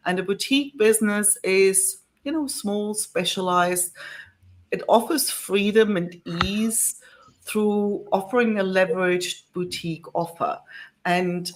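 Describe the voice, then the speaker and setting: A woman from Germany introduces her coaching business in a measured, deliberate way. Her voice sits at a mid pitch. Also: low-quality recording. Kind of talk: self-introduction on a panel.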